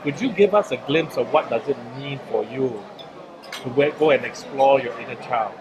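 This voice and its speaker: A man's voice: mid-range voice, normal speed. Singaporean man interviewing in a noisy food court. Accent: Singaporean English. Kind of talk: casual interview chat.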